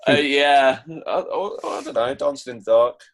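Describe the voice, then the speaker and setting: A man's voice: medium pitch, wildly swooping pitch, normal speed, vocal fry. A man with a British accent answering interview questions as a musician, in casual, hesitant, rambling talk. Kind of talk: casual chat.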